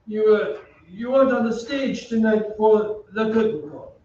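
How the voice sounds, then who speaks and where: Man playing a timid, high-voiced aging violinist, echoey. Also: lively intonation, measured pace, a little mellow. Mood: nervous, deferential. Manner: hesitant, deferential, apologetic. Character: timid, anxious aging male opera violinist. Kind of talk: old-time radio drama acting. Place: theatre stage, live reading. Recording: echoey stage, distant mic, muffled, hiss.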